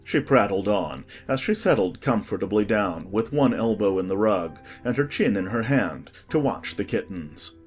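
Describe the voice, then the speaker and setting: A man's voice: lowish voice, lively intonation, normal speed, hardly any pauses, soft background music. A man reading a classic storybook as an audiobook narrator, in a scripted storytelling style.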